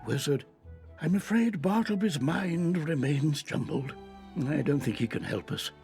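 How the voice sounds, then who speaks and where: Creaky old wizard headmaster. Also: male voice, fairly high pitch, expressive, darkish tone, faint music behind. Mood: grave, kindly. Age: older. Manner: measured, dramatic, slightly fussy. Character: kindly, theatrical elderly male wizard headmaster. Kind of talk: video game character acting.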